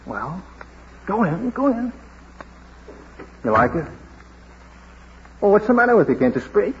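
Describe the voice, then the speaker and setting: An actor in a vintage radio drama playing a proud, bossy but tender street washer who speaks emphatically. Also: male voice, high-pitched, very animated, unhurried, a little hiss or hum. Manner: emphatic, declamatory, commanding. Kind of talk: 1940s radio drama acting. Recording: husky, dull old radio drama recording.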